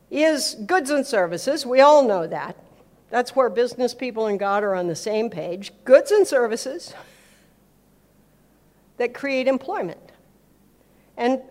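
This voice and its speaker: Animated female storyteller speaking, higher-pitched voice. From America and Switzerland. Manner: emphatic, repeats words for effect, acts out dialogue. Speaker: speaker giving a talk on faith and business. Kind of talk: informal public teaching talk with anecdotes.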